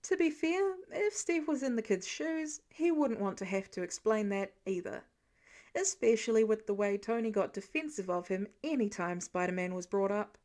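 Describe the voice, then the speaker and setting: A woman reading a story aloud as an audiobook narrator, expressive and scripted. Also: mid-range voice, normal speed.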